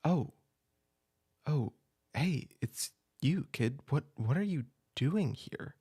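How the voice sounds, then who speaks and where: A man playing a caring older brother who shelters a younger sibling, soft-spoken and reassuring, sometimes dropping to a whisper, in a comfort audio roleplay. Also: fairly high pitch, animated, slow, breathy edge, pitched above the performer's own voice, exaggerated voice. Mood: alarmed, welcoming. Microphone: home desk mic.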